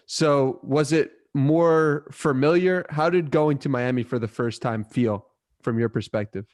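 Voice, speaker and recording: A man's voice: medium pitch, a little slow, darkish tone. A man hosting a podcast, asking his guest long, winding questions. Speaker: podcast host. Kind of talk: interview questions.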